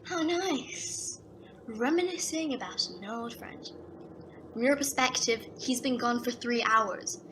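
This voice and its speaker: A woman's voice: fairly high pitch, slow, noisy background. A woman playing a cocky, sardonic agent who knows more than she says, in an audio drama. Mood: sardonic, knowing. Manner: sardonic, breezy.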